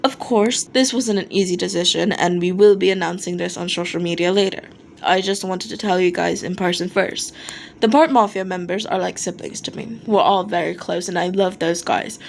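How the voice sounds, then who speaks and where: A woman's voice: lowish voice, normal speed, gravelly. A woman voicing a blunt, foul-mouthed but apologetic male rock singer in a fan fiction reading. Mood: earnest. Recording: noticeable background noise.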